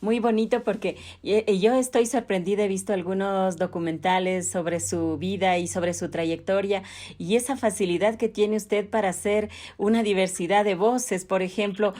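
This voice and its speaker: A woman with an Ecuadorian accent interviewing a guest in a measured, formal, courteous way. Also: medium pitch, fairly even intonation, a little mellow. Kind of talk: interview questions.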